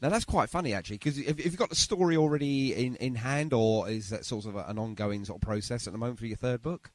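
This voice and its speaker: A man's voice: medium pitch, fast talker, a little mellow. A man hosting a radio show in a jokey, rambling, self-deprecating chatty style. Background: music.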